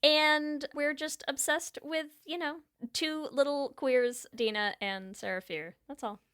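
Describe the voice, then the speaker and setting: A woman's voice: high voice, steady tone, a little slow, fairly bright tone. A woman co-hosting a podcast in a casual, conversational style. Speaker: podcast co-host. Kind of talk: casual podcast chat and sign-off.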